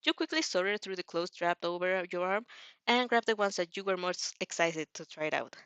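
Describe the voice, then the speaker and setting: A woman's voice: lowish voice, lively intonation, moderate pace, a bit bright, clear, resonant tone, no room sound. A woman reading fiction aloud as narrator, often stumbling and repeating phrases. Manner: stumbles over words and rereads phrases. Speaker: amateur fan fiction reader. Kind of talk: storybook narration read aloud. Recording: dry, slightly dull lo-fi recording.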